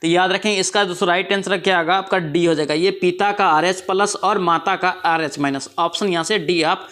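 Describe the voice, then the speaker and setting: A man's voice: high-pitched, fairly even intonation, a little fast, fairly bright tone. A man with a Bihari Hindi accent and a sore throat from a cold, walking through exam quiz answers and repeating each one back as a question. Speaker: exam prep teacher. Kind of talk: quiz answer walkthrough lesson.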